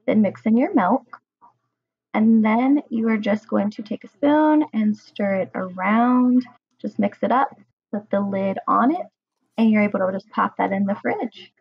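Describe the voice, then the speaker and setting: A woman's voice: medium pitch, creaky, slightly rough, muffled recording. A woman in her thirties, a home cooking vlogger, talking casually through her meal prep with a chatty, enthusiastic manner and plenty of ums. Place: home kitchen, indoors.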